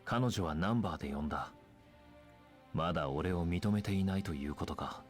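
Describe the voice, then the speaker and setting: Actor as fast-talking earnest young commander. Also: male voice, low voice, soft background music, lightly acted. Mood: brooding. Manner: serious, firm, formal. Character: earnest, resolute young male squad commander. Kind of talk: anime game voice acting.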